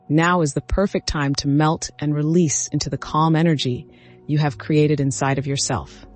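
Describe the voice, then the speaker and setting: A man narrating a scripted guided meditation as the guide, giving instructions in measured phrases with short cues. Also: a little high. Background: background music.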